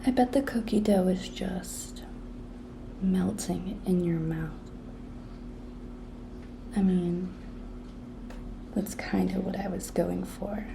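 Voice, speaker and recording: A woman playing a cute, pushy young intern coaxing her boss in an intimate, breathy ASMR whisper, coy and teasing. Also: lowish voice, steady tone, slow, pauses often, noisy background, exaggerated voice. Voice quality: breathy whisper, soft-spoken. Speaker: ASMR roleplay performer.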